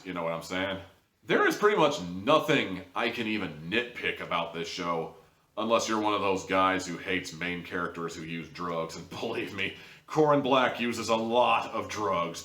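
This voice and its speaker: A man's voice: low-pitched, sharp tone. A man talking casually as a reviewer, chatty and informal, with emphatic asides. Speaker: host and reviewer of audio dramas. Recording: roomy, echoey sound.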